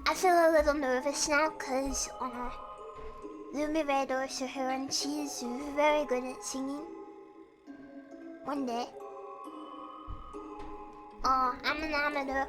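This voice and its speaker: A woman with a girlish, slightly croaky voice chatting casually on a livestream in a playful, self-deprecating way. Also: fairly even intonation, slow pace, long pauses. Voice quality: high, girlish voice. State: slightly croaky and sniffly from singing. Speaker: livestreamer hosting a chat and karaoke stream. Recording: music behind the voice. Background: music.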